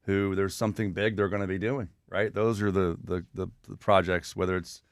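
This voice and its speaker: A man's voice: sits low, level tone, a little fast, clear, resonant tone, dry recording, studio quality. A man talking casually as an interview guest, earnest and full of anecdotes. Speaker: guest, communications consultant.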